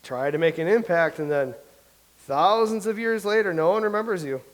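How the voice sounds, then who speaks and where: A man's voice: sits high, normal speed, close to an everyday voice. An actor playing a vain, anxious male playwright who rambles nervously in a staged comedy reading. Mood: rambling. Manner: rambling, nervous, self-pitying. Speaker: playwright character.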